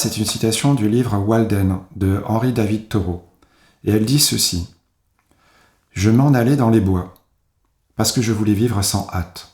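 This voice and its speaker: Low-voiced man giving a measured talk. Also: darkish tone, echoey room. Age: 40s. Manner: measured, reflective storytelling. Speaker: conference speaker, agile coach. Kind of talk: conference talk. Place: home office, video call.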